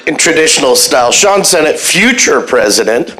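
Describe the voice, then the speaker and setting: A man's voice: fairly high pitch, big pitch swings, moderate pace, bright, forceful tone, rough recording. A man in his fifties gives a brief, plain-spoken self-introduction at a club lunch meeting. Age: 50s. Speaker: club member, incoming president.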